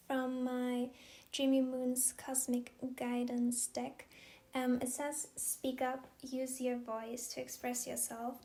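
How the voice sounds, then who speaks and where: A young woman, talking gently and hesitantly in a personal vlog, with many pauses. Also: on the high side, echoey room. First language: German. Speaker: vlogger. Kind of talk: soft-spoken personal vlog.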